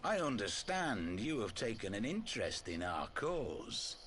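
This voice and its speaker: An actor playing a gruff, solemn and fervent male resistance fighter in a video game cutscene. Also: fairly low pitch, very animated, a little slow, a bit bright, a little hiss or hum. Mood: measured.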